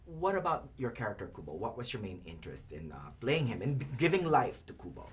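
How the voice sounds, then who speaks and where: A man's voice: mid-range voice, sing-song, quick pace, slight background noise. A male movie interviewer hosting an entertainment press interview, enthusiastic and gushing as he asks his questions.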